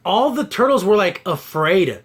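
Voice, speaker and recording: A man's voice: high voice, very animated, normal speed, husky. A man hosting a casual review podcast about cartoons, talking in an exasperated, mocking way. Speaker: podcast host.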